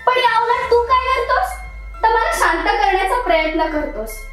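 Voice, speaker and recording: Young woman doing a bitter accusing commuter, very high voice, big echo and music under it. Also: expressive, moderate pace. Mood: furious. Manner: bitter, accusing, rising to anger. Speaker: actor in a one-act monologue. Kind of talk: dramatic stage monologue. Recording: very echoey room, music underneath.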